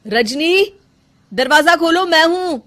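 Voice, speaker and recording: A woman's voice: very high voice, animated, slow, fairly bright tone, pitched above the performer's own voice, heavily acted. A woman playing a concerned young husband in a story, with an insistent, questioning manner.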